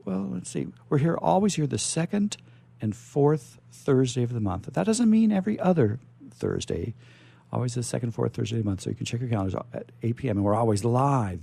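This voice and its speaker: A man hosting a live radio interview, chatting in a halting way and often repeating words as he goes. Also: mid-range voice, vocal fry, warm tone. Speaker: radio show host.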